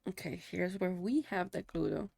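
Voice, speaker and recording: A woman's voice: lowish voice, lively intonation, moderate pace, gravelly, darkish tone, no room sound. A young woman whose first language is Spanish, streaming live and chatting casually with viewers, thanking them and muttering to herself as she works. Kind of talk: casual live-stream chat while working.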